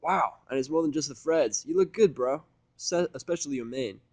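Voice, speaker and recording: A man's voice: a little high, a little fast, darkish tone, no room sound, pitched above the performer's own voice, natural voice. A man voicing a laid-back, friendly female DJ cartoon pony with a casual, slangy way of talking. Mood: upbeat, friendly.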